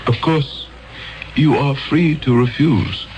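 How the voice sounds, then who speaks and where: An actor playing a solemn, coldly insistent elder in a 1970s radio drama, speaking in measured, commanding phrases. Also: male voice, mid-range voice, background noise, lightly acted. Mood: calm, persuasive. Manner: measured, commanding, deliberate pauses.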